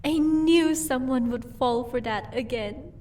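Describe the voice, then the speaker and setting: Higher-pitched teasing vampire wife, acted by a woman. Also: a little slow, a little mellow. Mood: teasing, amused. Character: amused, haughty vampire wife, mistress of the estate. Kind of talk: audio roleplay acting. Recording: voice distant from the microphone.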